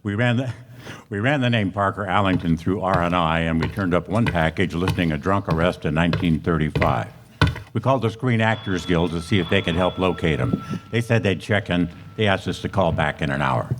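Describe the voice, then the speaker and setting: A man playing a stoic, businesslike police detective in an old radio drama, deadpan, clipped and polite. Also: sits low, brisk, noisy background, close to an everyday voice. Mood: matter-of-fact. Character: stoic, businesslike male police sergeant narrating his case. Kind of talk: 1950s radio detective drama narration and dialogue.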